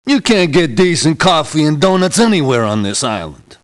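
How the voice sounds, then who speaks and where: Sardonic ex-cop mercenary, lively pitch. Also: male voice, moderate pace. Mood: sardonic, threatening. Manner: sardonic, tough-talking. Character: gruff, sardonic ex-policeman mercenary man, streetwise and stubborn. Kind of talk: video game character voice acting.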